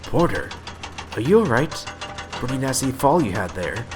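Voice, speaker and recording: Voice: mid-range voice, big pitch swings, normal speed, music in the background, lightly acted. An actor playing a concerned railway rescuer in an amateur audio drama.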